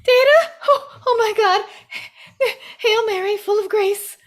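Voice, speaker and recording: A woman acts out a tearful, panicked girl in a film table read. The voice leaps high and loud into a shrill wail. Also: a little slow. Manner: shrill, wailing.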